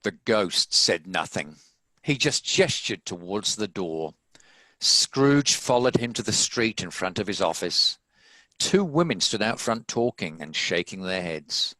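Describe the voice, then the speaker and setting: A man's voice: lowish voice, sing-song, unhurried, sharp tone, no echo, low-quality recording. A man reading scripted story narration as the narrator. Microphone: home video-call recording.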